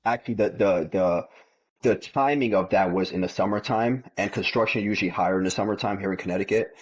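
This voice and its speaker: Low husky man, lo-fi sound. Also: a little fast. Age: 30s. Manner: repeats words often, tells stories plainly. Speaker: podcast guest. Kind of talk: casual interview chat.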